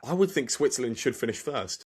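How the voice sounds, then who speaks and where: A man's voice: medium pitch, animated, a little fast, darkish tone, slightly rough. A man hosting a podcast, asking a guest friendly, direct questions. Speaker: podcast host. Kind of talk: interview questions.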